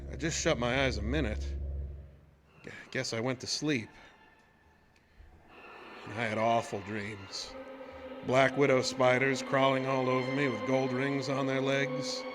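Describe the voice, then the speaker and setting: An actor playing a plain-spoken, shaken oil-rig worker who tells his tale in a folksy, halting way in a radio horror drama. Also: medium pitch, steady tone, background noise, natural voice. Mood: uneasy, intent. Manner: folksy, colloquial, halting storytelling.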